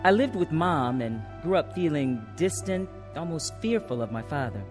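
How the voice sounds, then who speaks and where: A woman's voice: sits low, moderate pace, music in the background. An actress playing an earnest woman telling of her troubled youth in a radio drama. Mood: reflective. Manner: earnest, expressive. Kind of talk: first-person radio drama narration and acting.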